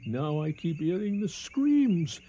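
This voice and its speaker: An actor with a British accent playing a frightened colonial police inspector in a radio drama, agitated and halting in delivery. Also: male voice, a little high, very animated, dark tone, dull audio, a little hiss or hum, exaggerated voice. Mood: frightened, rattled. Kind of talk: 1980 radio drama acting.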